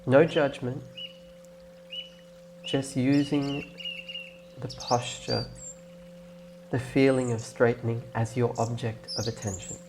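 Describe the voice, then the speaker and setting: A man leading a guided meditation in a gentle, instructing way, leaving long pauses between phrases. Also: mid-range voice, little pitch movement, background noise. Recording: clear birdsong and nature ambience behind. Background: birdsong, nature ambience.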